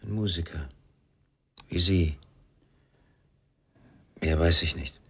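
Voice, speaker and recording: An actor playing a weary, troubled father searching for his son in a radio crime drama, speaking sparsely and reflectively in a husky voice. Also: low voice, takes it slowly, fairly bright tone, full character voice. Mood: regretful, reflective.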